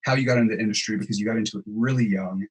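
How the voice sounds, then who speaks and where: Husky-voiced male podcast host. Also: lowish voice, fairly even intonation, very fast. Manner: agreeable, affirming, long run-on questions. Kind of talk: casual interview chat.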